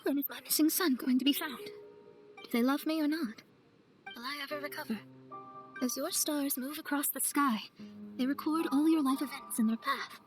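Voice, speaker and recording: A wistful young astrologer played by a woman in a video game, with a high voice. She speaks in measured, reflective, eloquent phrases while music plays clearly behind her. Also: natural voice.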